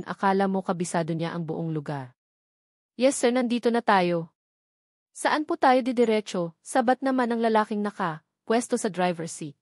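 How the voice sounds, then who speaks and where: A woman's voice: medium pitch, a little mellow, no room sound, studio quality. A woman reading a first-person romance novel aloud as a scripted audiobook narration.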